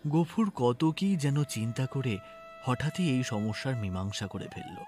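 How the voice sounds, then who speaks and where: A man narrating a literary story dramatically, in a measured and expressive way. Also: medium pitch. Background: background music.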